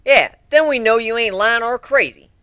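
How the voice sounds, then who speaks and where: A man's voice: very high-pitched, normal speed, pushed and bright, clean tone, no echo, studio quality, pitched above the performer's own voice. A man voicing a blunt, skeptical country pony mare with folksy talk in a cartoon fan story reading. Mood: challenging.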